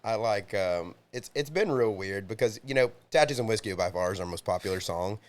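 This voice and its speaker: A man's voice: on the low side, animated, brisk. A man, the lead singer of a band, chatting as a guest on a podcast in a laid-back, joking way. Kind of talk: casual chat. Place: home garage studio.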